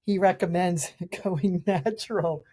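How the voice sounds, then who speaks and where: A middle-aged woman with a raspy voice from a cold, hosting a podcast outdoors in chatty, rambling talk. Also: on the low side, slow pace, warm tone. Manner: chatty, rambling, with restarts and fillers. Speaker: podcast host and nutrition practitioner. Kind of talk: casual solo podcast talk reviewing products.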